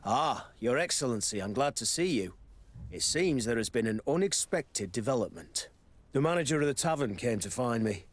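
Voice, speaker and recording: An actor voicing a deferential garrison quartermaster in a video game, formal and businesslike. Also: male voice, mid-range voice, expressive, normal speed, close to an everyday voice. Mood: serious.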